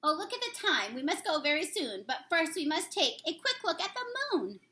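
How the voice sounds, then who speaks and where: Female teacher, children's read-aloud, sing-song delivery, bright high voice. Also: normal speed. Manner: sing-song, expressive storybook reading. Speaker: teacher reading a picture book aloud.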